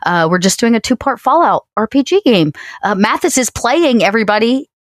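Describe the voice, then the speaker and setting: A woman's voice: on the high side, lively intonation, brisk, no echo, clean studio sound. A woman chatting casually as a player on a tabletop role-playing podcast, informal and joking. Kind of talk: casual chat.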